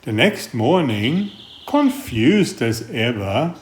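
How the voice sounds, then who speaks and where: A man reading a picture book aloud in a gentle, unhurried storytelling manner. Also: mid-range voice, very animated. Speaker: storybook reader. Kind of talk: slow read-aloud picture book narration.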